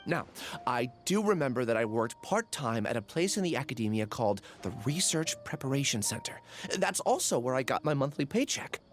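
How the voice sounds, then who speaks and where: A man's voice: mid-range voice, sing-song, brisk, soft background music, close to an everyday voice. An actor playing a confused young male researcher with lost memories in a game, hesitant and apologetic. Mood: troubled. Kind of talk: game voice acting.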